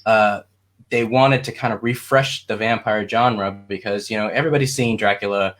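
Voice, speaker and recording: A man's voice: lowish voice, fairly even intonation, normal speed, fairly bright tone. A man talking casually as a panelist, informal and rambling in his delivery.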